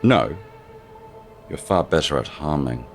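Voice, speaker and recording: A man's voice: extremely low pitch, animated, a little slow, full character voice. A man playing a grim, aloof god of death in a fantasy audio drama, terse and coldly dry. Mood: curt. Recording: loud music behind the voice.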